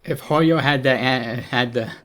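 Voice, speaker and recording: A man's voice: medium pitch, measured pace, a bit bright. A man giving casual, offhand commentary as he plays a game, blunt and prone to swearing. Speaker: game player reacting on his channel.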